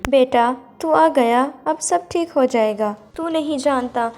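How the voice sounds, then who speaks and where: Woman acting a plaintive, high-voiced poor widowed mother. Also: slow pace, darkish tone. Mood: sorrowful relief. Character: poor widowed village mother-in-law, long-suffering and loving. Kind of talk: dramatized Hindi moral cartoon story.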